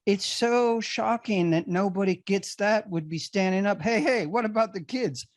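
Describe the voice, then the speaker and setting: A man's voice: high voice, moderate pace, no echo, clean studio sound. A man hosting a podcast interview, talking earnestly and emphatically in a casual conversational way. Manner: earnest, emphatic, builds to indignation. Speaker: podcast host.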